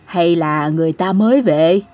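A woman's voice: on the low side, moderate pace, darkish tone, natural voice. An older cartoon woman , acted by a woman, speaks. She is matter-of-fact and inclined to grumble and dismiss. Light music plays behind her. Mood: grumbling. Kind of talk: animated cartoon voice acting.

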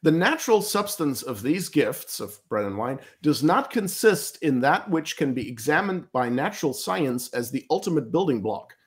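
A man in his thirties, a native German speaker, talking earnestly on a video call from a home study, emphatic and halting with frequent restarts. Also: a little high, very animated. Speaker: guest commentator. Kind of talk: critical theological discussion on a video call.

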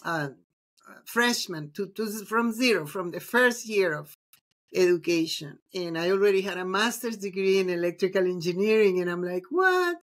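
Lower-voiced Venezuelan-born woman on a video call. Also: animated, normal speed. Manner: warm, reflective storytelling with frequent ums. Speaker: guest, psychologist and mindfulness teacher. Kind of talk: casual interview conversation.

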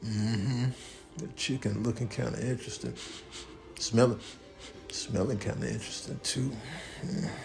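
An American man voicing a cocky, nosy cat after food, smooth-talking in slangy mutters for a comic video. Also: medium pitch, steady tone, unhurried, long pauses, pitched below the performer's own voice. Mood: sly, muttering.

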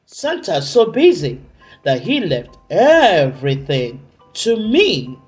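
A woman's voice: lowish voice, huge pitch swings, darkish tone, faint music behind, full character voice. A woman voicing a tyrannical male head elf who barks stern, emphatic orders in a children's storybook. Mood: scolding, commanding. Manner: stern, emphatic, drawn-out commands.

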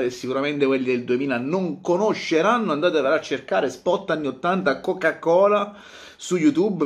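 A man in his thirties talking casually to camera as a football fan vlogger, with an animated, theatrical delivery that repeats phrases for emphasis. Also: on the high side. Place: small home room, indoors.

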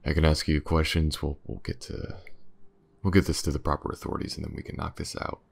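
A man playing a gruff, wary, sardonic detective in an audio roleplay, gravelly and halting in his delivery. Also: a bass voice, level tone, exaggerated voice. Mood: flustered. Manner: halting, muttering.

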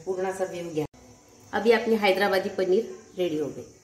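A woman in her forties narrating a home cooking recipe step by step, calmly listing ingredients one at a time. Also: medium pitch, normal speed. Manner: calm, lists ingredients one by one. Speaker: cooking channel host. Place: home kitchen, echoey. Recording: echoey room with faint kitchen noise.

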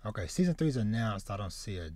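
A man in his thirties chats casually as a podcast host. He talks in halting runs, doubling back on words, and the sound is dry and close, with little room in it. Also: lowish voice, big pitch swings, darkish tone, dry recording. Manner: halting, repeats words as he goes. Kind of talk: casual chat about anime. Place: small room with bookshelves, indoors, on a video call.

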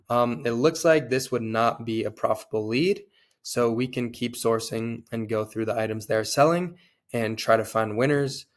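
A young man with a cold and a slightly hoarse voice, casually walking viewers through a screen-share tutorial, with frequent fillers. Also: mid-range voice. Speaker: online seller and tutorial host.

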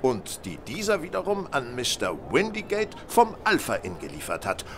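An actor playing a shrewd, self-assured gentleman detective in an audio drama, polite and measured in manner. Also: medium pitch, huge pitch swings, noisy background. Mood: courteous, confident. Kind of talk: German audio drama acting.

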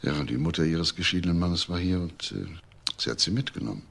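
Low, gravelly police inspector. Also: male voice, brisk, fairly bright tone, exaggerated voice. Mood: questioning, polite. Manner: hesitant, repeating questions, politely insistent. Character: probing, world-weary male police detective inspector. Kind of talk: German crime radio drama acting. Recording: faint background noise.

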